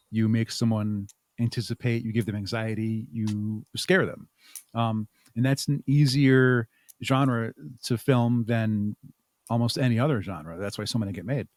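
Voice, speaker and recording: A man's voice: mid-range voice, a little mellow, no room sound. A man speaking as an interview guest in a casual, reflective way, sometimes searching for his words. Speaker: podcast guest, haunt makeup artist and audio drama creator.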